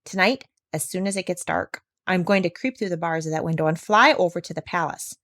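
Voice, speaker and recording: A woman's voice: lowish voice, a little fast, fairly bright tone, dry recording, clean studio sound. A woman voicing a clever, proud female parrot from a storybook, self-assured and bossy. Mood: confident. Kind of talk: storybook read-aloud character voice.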